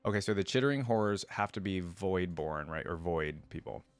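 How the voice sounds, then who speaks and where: A man reading a story aloud and breaking into casual, expressive, slangy commentary as a reaction host. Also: sits low, moderate pace, smooth, no room sound.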